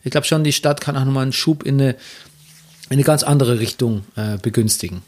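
A man's voice: medium pitch, fairly quick, a little mellow. A man from Bavaria with a Bavarian accent, telling stories in casual conversation with frequent restarts. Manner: chatty storyteller, frequent restarts.

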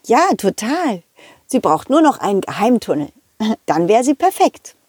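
A cheerful, loyal male dog from a children's audio play, as a woman puts him on, chatting straight to young listeners with giggles. His voice sits fairly high and swings about in a bouncy, lively melody. The sound is close and dry. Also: a little slow, husky, no echo, heavily acted. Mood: kind. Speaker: storyteller.

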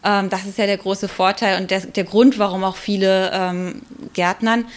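A woman with a mid-pitched voice gives explanations as an expert guest on a podcast. She talks in a measured manner, pausing now and then to find the next word. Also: level tone. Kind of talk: explanatory podcast interview talk.

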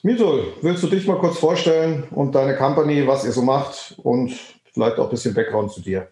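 A man's voice: mid-range voice, normal speed, mellow. A man hosting a podcast and putting casual questions to a guest. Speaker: podcast host. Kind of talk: podcast interview. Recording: roomy echo.

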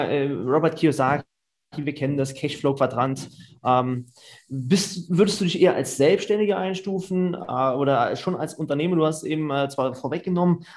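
A man's voice: fairly high pitch, a little mellow. A man hosting an interview, hesitant with many fillers but enthusiastic. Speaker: podcast host.

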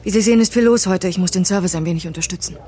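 Actress as brisk, guarded restaurant owner. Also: female voice, on the low side, warm tone, natural voice. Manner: formal, businesslike. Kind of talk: naturalistic audio drama acting.

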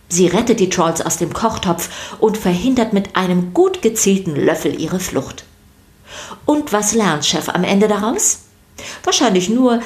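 A woman with a slightly low voice narrates a children's audio story. She reads from a script expressively, addressing her listeners directly as a storyteller would.

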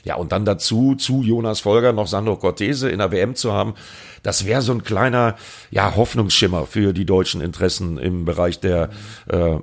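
Low-voiced man explaining racing news. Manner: informed, explanatory. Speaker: podcast co-host, motorcycle racing expert. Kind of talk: casual chat.

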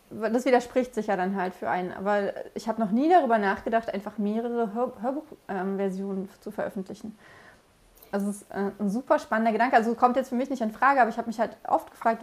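A woman's voice: mid-range voice, fairly even intonation, fairly quick. A woman in a casual discussion who asks questions and often stumbles and restarts her sentences.

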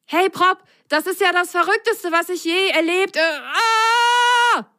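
A woman voicing an eager, adventurous young male sock in a children's story, chatty and excitable. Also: sits high, unhurried, pitched above the performer's own voice. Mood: amazed.

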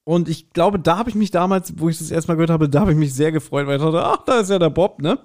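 A man's voice: fairly high pitch, quick pace. A man co-hosting a podcast, casually recapping a story and acting out bits of dialogue as he retells it. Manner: animated retelling with acted-out quotes. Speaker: podcast co-host. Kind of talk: casual podcast chat recapping an audio drama.

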